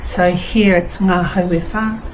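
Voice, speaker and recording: Calm New woman, lowish voice. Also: slight background noise, poor audio. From New Zealand. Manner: calm, measured, reciting her ancestry. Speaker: local guide and guest. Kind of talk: interview answers.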